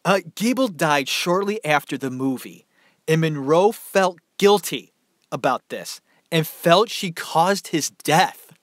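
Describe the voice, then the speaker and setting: A man's voice: a little high, animated, slow pace, dry recording, studio quality. Casual man rambling through a story. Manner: rambling, conversational, many fillers. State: dry mouth, tiring voice. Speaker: podcast host. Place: small room at home.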